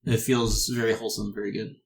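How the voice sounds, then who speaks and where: A man narrates a tabletop game as its game master. His voice sits on the low side with a strong creak, and he feels his way through improvised explanations in halting phrases. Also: level tone.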